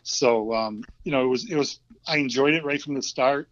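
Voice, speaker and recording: A man in his fifties with a Vermont accent talks easily as a podcast guest. He speaks at a middling pitch and often stops with ums and starts over. The sound is dull and a little lo-fi. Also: normal speed. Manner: relaxed, hesitant, many ums and restarts. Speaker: guest, former hockey player. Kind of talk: casual interview chat. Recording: dull lo-fi audio.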